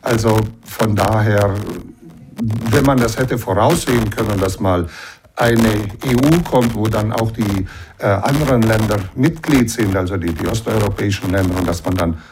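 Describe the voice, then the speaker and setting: Low-voiced man from Banat, measured telling. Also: noisy background. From Banat, western Romania. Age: middle-aged. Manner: measured, explanatory, with frequent fillers. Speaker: interview guest. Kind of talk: reflective interview answers.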